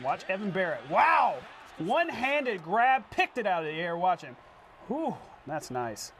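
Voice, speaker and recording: A man co-hosting a sports show, calling highlights in a punchy style with exclamations. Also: a bit bright, slight background noise. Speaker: TV sports co-host. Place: TV studio.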